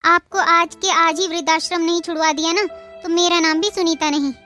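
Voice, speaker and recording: Woman acting a very high-pitched, spiteful sister-in-law. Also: fairly even intonation, brisk, pushed and bright, soft background music. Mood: threatening. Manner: sarcastic, scolding, threatening. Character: sharp-tongued, spiteful, bossy young sister-in-law, female. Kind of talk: cartoon moral story acting.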